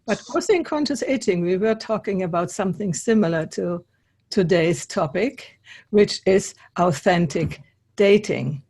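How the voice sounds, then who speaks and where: A woman at a mid-range pitch hosts an interview over a video call. English is not her first language, and now and then she pauses to find the right word. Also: animated, a little mellow. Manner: searches for words in a non-native language. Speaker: host. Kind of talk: hosting an interview.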